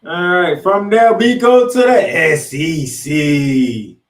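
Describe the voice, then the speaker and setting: A man co-hosting a sports podcast, previewing games and picks with drawn-out, emphatic announcer-style introductions. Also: a little high. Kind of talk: college football game previews and picks. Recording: roomy, echoey sound.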